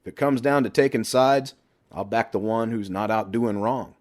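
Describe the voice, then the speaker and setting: A man's voice: on the low side, normal speed, a little mellow, voice pushed up, lightly acted. A man voicing a brash middle-aged best friend who lectures and needles bluntly in an audiobook. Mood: blunt, protective. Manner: blunt, emphatic, sweary banter.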